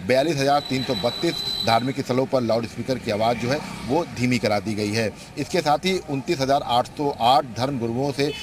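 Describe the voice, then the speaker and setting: Male news reporter on a noisy street. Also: mid-range voice. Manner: measured, formal. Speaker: field reporter. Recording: clear street and traffic noise.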